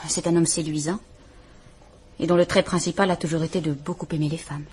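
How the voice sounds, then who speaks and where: A woman's voice: low voice, fairly even intonation, a little fast, a little mellow, slightly rough. An actress playing a frightened, dignified woman of about thirty in a vintage radio drama, composed, formal and restrained. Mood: restrained, bitter. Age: 30s. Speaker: woman reporting her husband.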